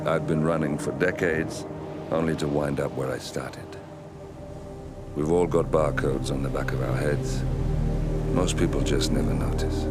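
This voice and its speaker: A man playing a terse, brooding middle-aged mercenary leader in a video game cutscene. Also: very deep voice. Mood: brooding, guarded. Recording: loud cinematic music behind.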